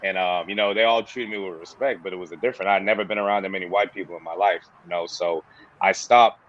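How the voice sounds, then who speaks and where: A man in his thirties from the Bahamas, a former player turned coach, telling stories in a relaxed, slangy way with a toned-down Bahamian accent. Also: low voice, fast, dull audio. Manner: casual, slangy, says "bro" and "you know". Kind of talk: relaxed interview storytelling.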